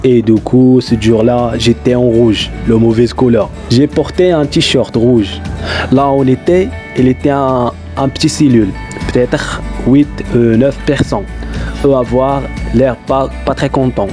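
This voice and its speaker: A man's voice: mid-range voice, steady tone, a little slow, music in the background. A Moroccan man whose first language is Darija, chatting casually in loose, non-native French as he vlogs and tells stories, with lots of fillers. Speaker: YouTuber. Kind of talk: casual vlog storytelling.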